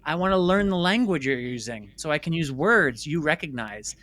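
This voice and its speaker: A man with a bright, forward voice that sits a little high. He talks as an interview guest, telling his story conversationally. His delivery is emphatic, with phrases repeated to drive points home. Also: lively intonation, normal speed. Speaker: interview guest, filmmaker and former stage actor.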